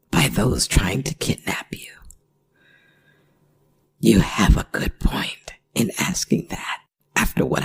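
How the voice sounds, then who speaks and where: A performer voicing a worried, gentle older werewolf mother in an ASMR audio drama, speaking in halting, pleading phrases. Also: medium pitch, gravelly, breathy edge, heavily acted. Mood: grateful, earnest.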